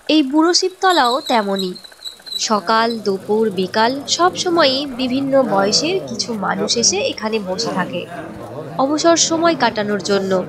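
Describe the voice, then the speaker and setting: A woman reading scripted narration as the storyteller of a story. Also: fairly high pitch. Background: music.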